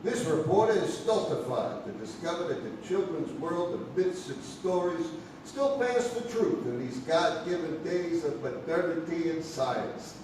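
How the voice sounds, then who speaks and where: Pompous male 1800s newspaperman played by an actor, highish voice, scornful formal delivery, distant in a very echoey theatre. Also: expressive, unhurried. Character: stern, scornful nineteenth-century newspaper reporter, male. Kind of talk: theatrical reading of old newspaper report. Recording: echoey noisy theatre, far from mic.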